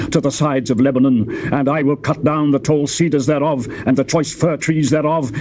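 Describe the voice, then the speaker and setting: A man's voice: mid-range voice, natural voice. A man playing a solemn biblical prophet. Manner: measured, solemn, stately delivery. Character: the prophet Isaiah, grave male narrator of scripture. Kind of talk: 1980s dramatized Bible reading on cassette. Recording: cassette tape with clear hiss and noise.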